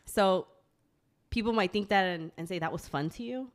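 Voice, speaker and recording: A woman's voice: medium pitch, normal speed. A woman, guesting on a casual podcast, talking frankly, swearing and laughing often. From Texas. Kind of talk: casual chat.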